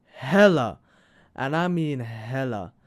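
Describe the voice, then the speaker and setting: Young Thai male co-host, English as a second language, smooth clear tone, halting delivery. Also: mid-range voice, animated. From Thailand. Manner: halting, with restarts and "hella" slang. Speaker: podcast co-host. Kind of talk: casual chat.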